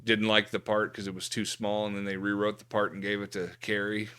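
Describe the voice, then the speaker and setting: A man's voice: on the low side, little pitch movement, brisk, pushed and bright, clear, resonant tone. A man hosting a casual pop culture podcast chat, blunt and opinionated, swearing freely. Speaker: podcast host.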